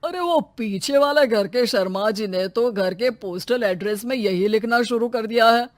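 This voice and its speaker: A woman's voice: a little high, normal speed, no room sound, natural voice. Woman as chuckling cartoon father-in-law; wry, dry. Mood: joking. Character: joking father-in-law, shopkeeper.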